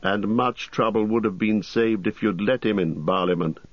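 A male reader plays a grave, commanding ranger from a fantasy storybook. The voice is low and rough-edged. He talks quietly and bluntly, weighing each phrase, close and dry. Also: normal speed, no echo, lo-fi sound, close to an everyday voice. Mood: stern, scornful.

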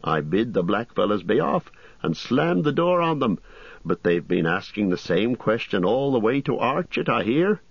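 A man's voice: fairly low pitch, very animated, moderate pace, creaky, slightly rough, no echo, dull audio, pitched above the performer's own voice, heavily acted. A man voicing a fussy, flustered middle-aged innkeeper, chattering in rustic turns of phrase, in a storybook reading. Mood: worried, gossipy.

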